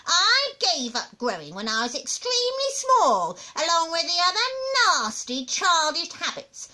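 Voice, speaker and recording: A nasty old storybook grandmother, acted out by a woman, bossy and full of scorn. She snaps out her orders slowly and emphatically, and her pitch swoops dramatically from high to low. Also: bright, forceful tone, heavily acted, poor audio. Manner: snappish, scornful, drawn-out emphatic delivery.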